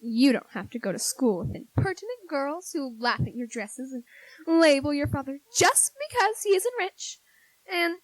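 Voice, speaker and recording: A woman's voice: sits high, sing-song, normal speed, no echo, rough recording. A woman playing a vain, pouting youngest sister, a prim and affected schoolgirl in a 1940s-style radio drama. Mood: whiny.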